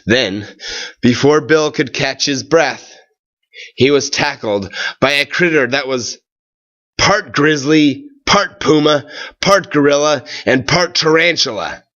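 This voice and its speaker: A man reading a storybook aloud with expressive, deliberate, dramatic phrasing. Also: fairly high pitch, dull audio.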